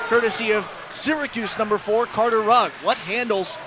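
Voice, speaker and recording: A man's voice: high-pitched, normal speed, background noise. A man calling live ice hockey on the radio as play-by-play announcer, in flowing descriptive calls that build on big plays.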